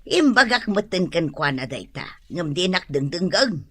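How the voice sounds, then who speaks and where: Actress as a sharp, scolding older Ilocano mother. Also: lowish voice, big pitch swings, moderate pace, breathy edge, no room sound, full character voice. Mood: resigned complaining. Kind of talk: radio comedy-drama acting.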